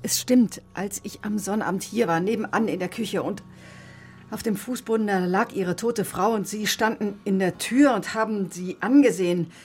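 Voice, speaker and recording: An actress playing a bitter, sharp-tongued woman doctor in a radio drama, with a sardonic, controlled, defensive manner. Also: mid-range voice, moderate pace, natural voice. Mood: alarmed, pleading. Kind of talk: radio drama acting, confessional narration and dialogue.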